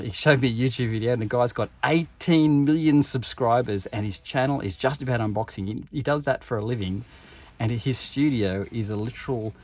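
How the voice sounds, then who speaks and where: A middle-aged man giving casual, rambling unboxing commentary, reading passages aloud and joking at his own expense. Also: medium pitch. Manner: rambling, self-deprecating, reads passages aloud. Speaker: YouTube unboxing host.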